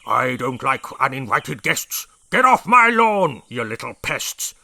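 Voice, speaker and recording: A bellowing, gravelly dinosaur brigadier. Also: male voice, mid-range voice, sing-song, unhurried, bright, forceful tone, dry recording, exaggerated voice. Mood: bellowing angry. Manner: bellowing, roaring. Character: blustering, hot-tempered, rule-obsessed old male dinosaur brigadier.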